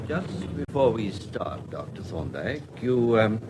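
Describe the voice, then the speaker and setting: An actor playing a discreet British official in a radio drama, polite, tactful and confiding. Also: male voice, fairly low pitch, sing-song, slow. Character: courteous, discreet British official consulting an expert. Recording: faint background noise and ambience.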